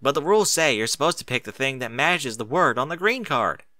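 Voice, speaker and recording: Guy as a bossy country mare, big sister type, blunt n folksy, lively storybook voice. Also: medium pitch, fairly quick, dry recording, clean studio sound. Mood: grumbling. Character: bossy, down-to-earth country farm pony mare, protective elder sister.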